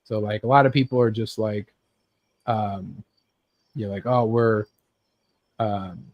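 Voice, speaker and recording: A man's voice: lowish voice, fairly even intonation, a little slow, dry recording, highs cut off. Rambling man hosting casual geek chat, dry sound. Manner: rambling, acts out imagined scenes with sound effects. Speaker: host leading a group chat about games and films.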